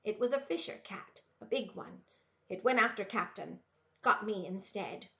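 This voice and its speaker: Woman reading as a gruff old backwoods woman, muffled recording. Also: medium pitch, normal speed, fairly bright tone, close to an everyday voice. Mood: gruff, resigned.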